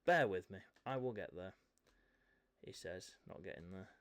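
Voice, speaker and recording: A man doing live commentary on a motorcycle esports race, a little croaky from a chest infection, chatty with self-mocking asides. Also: sits low, normal speed. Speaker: race commentator and event host.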